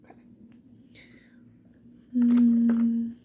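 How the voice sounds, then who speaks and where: A woman speaking mostly in a breathy whisper, chatting casually to the viewer in an ASMR get-ready style. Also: a little high, monotone, drawn-out words, muffled recording, a little hiss or hum. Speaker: ASMR video creator.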